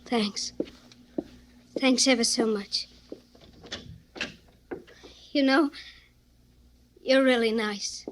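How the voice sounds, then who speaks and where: A woman's voice: on the high side, steady tone, very slow, pauses often, slight background noise. A woman playing a naive, grateful, down-on-her-luck young woman in a 1940s radio drama, earnest and hesitant. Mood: grateful, uncertain.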